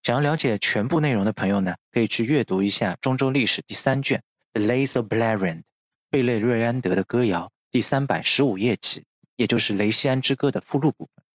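A man hosting a podcast, explaining from notes and often ending phrases on soft filler particles. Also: mid-range voice, dry recording, studio quality. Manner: frequent drawn-out filler particles at phrase ends. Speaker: podcast host.

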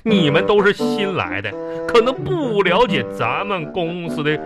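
Goofy stuttering office worker, eager and cheeky, super swingy pitch, music behind. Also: male voice, very animated, measured pace. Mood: pompous, proud. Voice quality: stammering. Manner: repeats syllables, clownish, eager to butt in. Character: bumbling, eager, stammering male office employee, cheeky joker. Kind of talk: live comedy radio play.

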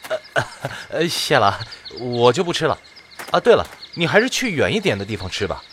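A man playing a gentle, earnest young priest adventurer in a fantasy audio drama, polite and a little hesitant. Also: medium pitch, sing-song, normal speed, a little hiss or hum. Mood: awkward.

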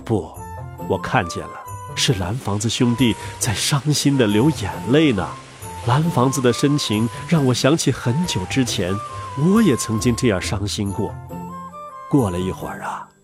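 A man reading a children's story aloud in a gentle, animated storyteller voice, taking part in a family reading for young listeners. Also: medium pitch.

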